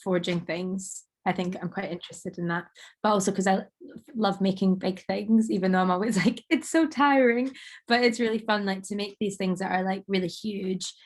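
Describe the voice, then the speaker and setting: A woman artist talking casually about her work, rambling with many fillers and a slightly croaky voice. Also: mid-range voice, steady tone, normal speed. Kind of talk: casual conversation.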